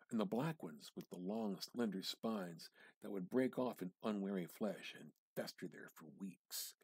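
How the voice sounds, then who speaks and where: A man reads literary fiction aloud as a dramatic practice piece. His voice is fairly low and quite husky, recorded very dry and close. He phrases deliberately and expressively, letting some words sink toward a hush. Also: no room sound. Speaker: amateur reader practicing dramatic reading. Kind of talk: dramatic reading of a literary short story.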